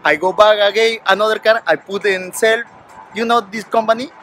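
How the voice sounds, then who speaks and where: A man's voice: high-pitched, steady tone, moderate pace, fairly bright tone, faint music behind. A man in his thirties from Peru with a Peruvian Spanish accent, a valet talking eagerly in broken English on a city street. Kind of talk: street interview.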